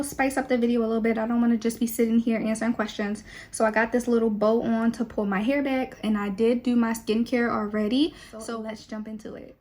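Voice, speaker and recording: A young woman talks to her viewers in a loose, relaxed way. Her voice sits a little on the high side. She fills gaps with easy fillers as she chats while doing her makeup. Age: 20s. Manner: chatty and relaxed, with many fillers. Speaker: beauty vlogger.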